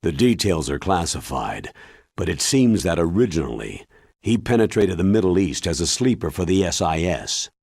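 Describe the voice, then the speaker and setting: A man playing a grave, authoritative military commander in a video game, briefing in a deliberate, emphatic way with a husky voice. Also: low voice, sing-song, a little mellow, no room sound, clean studio sound, full character voice. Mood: solemn, informative. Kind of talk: video game cutscene acting.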